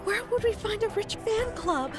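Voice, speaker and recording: A woman's voice: very high voice, expressive, moderate pace. A woman playing an earnest young fan club member in a video game. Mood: distressed. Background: game music.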